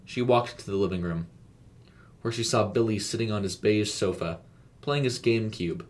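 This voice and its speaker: Low-voiced man narrating a story. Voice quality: low-pitched.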